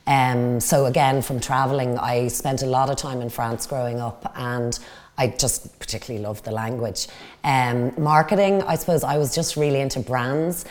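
A woman's voice: very deep voice, fairly even intonation, normal speed. A woman from Dublin with an Irish accent, answering interview questions conversationally as a business guest. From Dublin, Ireland. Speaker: interview guest, company executive.

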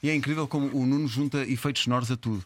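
A man's voice: medium pitch, fairly even intonation, rapid-fire, heavy background noise. A man with a European Portuguese accent co-hosting a radio show in bantering, casual chat. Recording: loud music behind the voice. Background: loud music.

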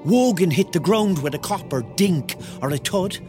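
A man's voice: high-pitched, lively intonation, normal speed. An actor playing a Cork man in his thirties, narrating a radio drama in a wry, colloquial way with a Cork Irish accent. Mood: tense storytelling. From Cork, Ireland. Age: 30s. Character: thirty-year-old Cork man, wry, dreamy storyteller. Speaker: narrator and lead character. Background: music.

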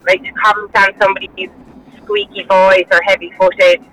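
A woman's voice: medium pitch, little pitch movement, normal speed, a bit bright, rough recording. A woman phoning in to a radio show, speaking firmly and asking pointed rhetorical questions. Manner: firm, opinionated, asks rhetorical questions. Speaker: caller. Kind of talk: phone-in call to a radio show.

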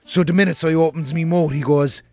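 A man's voice: fairly high pitch, fairly even intonation, fairly quick, mellow, faint music behind, natural voice. A man playing an indignant working-class lad with a strong Cork accent, telling a blunt, colloquial comic story. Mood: indignant, cheeky. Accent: Irish, working-class Cork. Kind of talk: comic scripted monologue.

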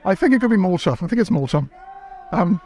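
A man's voice: sits high, sing-song, fast talker, dark tone. A male reporter chatting eagerly with a guest. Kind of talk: field interview.

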